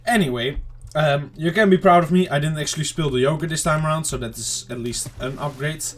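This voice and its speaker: A man giving casual, rambling let's-play commentary to viewers, his throat slightly off and clearing it now and then. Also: fairly high pitch, a little fast, fairly bright tone. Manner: rambling, chatty self-talk to viewers. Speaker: gaming channel host.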